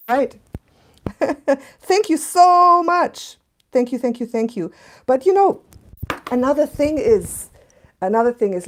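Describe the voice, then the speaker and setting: Chatty laughing woman with a mixed accent. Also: on the high side. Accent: mixed Canadian, German and Scottish. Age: 40s. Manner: chatty, laughs often, shifts between hushed and bright. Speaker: channel host reading viewer comments. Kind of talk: casual live-stream chat.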